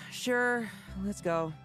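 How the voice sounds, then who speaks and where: A man's voice: sits high, very slow, fairly bright tone, faint music behind, heavily acted. A man playing a restless, wry young knight in a video game, with a dry, skeptical, brooding manner. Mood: dry teasing.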